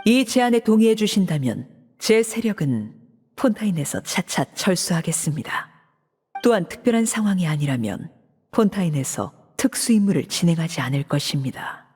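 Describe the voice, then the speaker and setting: A woman voicing a cold, composed female leader in a video game, speaking in a measured, formal, controlled way. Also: lowish voice, big pitch swings, darkish tone, slightly rough. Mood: cool. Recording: reverberant game audio.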